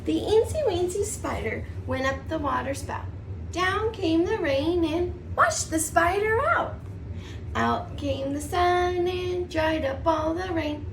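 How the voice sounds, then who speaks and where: A woman preschool teacher reading a storybook aloud to young children in an expressive, rhythmic sing-song. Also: very high-pitched, slow pace. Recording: distant mic, roomy echo, faint noise.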